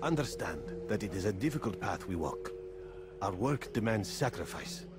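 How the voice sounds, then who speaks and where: Man playing a wary zealot, swooping pitch. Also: animated, normal speed, over music, close to an everyday voice. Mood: guarded, testing. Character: wary male zealot recruiting for secret religious order. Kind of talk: video game cutscene dialogue.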